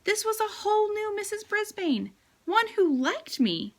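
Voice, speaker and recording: A woman's voice: extremely high pitch, very animated, measured pace, pitched above the performer's own voice, heavily acted. A woman voicing a small, eager male pet hamster who tells his adventures in a playful storybook manner. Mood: amazed, happy.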